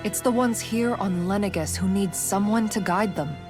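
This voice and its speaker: A woman's voice: medium pitch, normal speed, close to an everyday voice. An actress playing a composed, practical young woman in a game, plain-spoken and reassuring. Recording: prominent background music.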